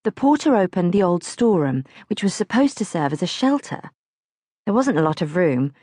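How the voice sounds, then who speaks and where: A woman's voice: fairly low pitch, moderate pace, dry recording, clean studio sound. A woman narrating a childhood diary as an audiobook, reading expressively from a script. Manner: expressive, acts out questions.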